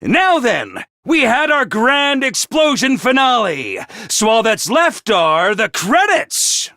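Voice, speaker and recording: A hyper, gleeful game mascot who commands troops, his very high voice swooping wildly up and down. He drags out his words slowly and theatrically, with a sharp, tense tone. The studio sound is dry and close. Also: very animated, no room sound, heavily acted. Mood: manic glee. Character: manic, mischievous small male academy mascot commander. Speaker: game character voice lines. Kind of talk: game voice acting.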